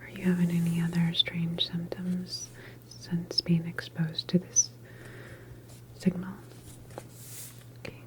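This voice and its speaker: A woman performing an ASMR medical roleplay as a calm government doctor, speaking in a deliberate, reassuring clinical manner. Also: fairly low pitch, background noise. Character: calm female government doctor examining a patient.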